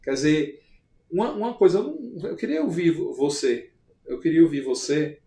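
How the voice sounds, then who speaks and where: Male podcast host, high and very lively voice, animated storyteller, echoey room. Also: normal speed, far from the mic. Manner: animated storyteller, leading questions. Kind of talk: conversational interview. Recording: roomy echo.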